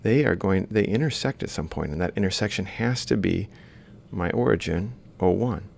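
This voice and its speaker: A man's voice: low voice, moderate pace, creaky, a little mellow, a little hiss or hum. A man lecturing informally, thinking aloud as he explains step by step while drawing. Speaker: robotics lecturer.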